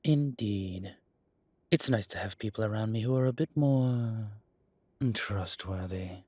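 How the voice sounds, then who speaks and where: A man playing an ancient, proud vampire elder in an audio drama, deliberate and quietly menacing, with a husky voice. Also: lowish voice, no echo. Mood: wry, composed. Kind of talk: audio roleplay drama acting.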